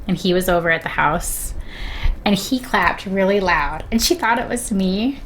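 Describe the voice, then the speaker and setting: A woman's voice: medium pitch, expressive, normal speed, fairly bright tone, a little hiss or hum, low-quality recording. A woman telling personal anecdotes in casual conversation as a guest on a stream. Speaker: guest on sibling's stream, mother.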